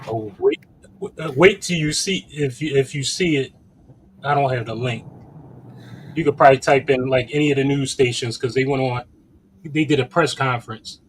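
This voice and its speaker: A man with a medium-pitched voice chatting casually on a livestream panel. There is noticeable noise around his voice. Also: moderate pace, rough recording. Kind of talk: casual chat.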